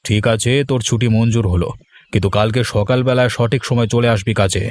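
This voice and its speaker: A man playing a gruffly kind village gentleman boss in a cartoon, commanding and blustery. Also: mid-range voice, steady tone, brisk, no room sound, natural voice. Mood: stern, indulgent. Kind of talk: cartoon voice acting.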